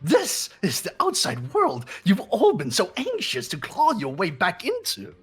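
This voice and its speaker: A man voicing a gleeful, sadistic mascot villain for a game, with theatrical, drawn-out taunts. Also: sits high, wildly swooping pitch, slightly rough, faint music behind, pitched above the performer's own voice, heavily acted. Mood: gleeful menace.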